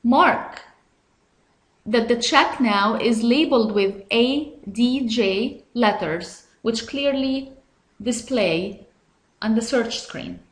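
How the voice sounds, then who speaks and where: A woman reading scripted step-by-step software instructions in a measured, instructional way. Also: a little high, echoey room, rough recording. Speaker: software tutorial narrator.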